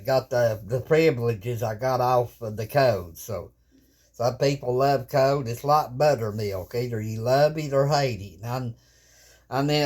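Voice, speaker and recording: A man's voice: medium pitch, a little mellow. A man who runs ham radio, casually showing off his gear at his home radio desk in a folksy, rambling way, recorded on a handheld phone camera. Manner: rambling, folksy, plain-spoken with many restarts.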